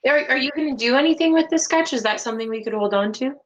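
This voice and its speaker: A woman's voice: sits high, fast. A woman playing a tabletop roleplaying game, talking casually in character with a questioning, conversational manner.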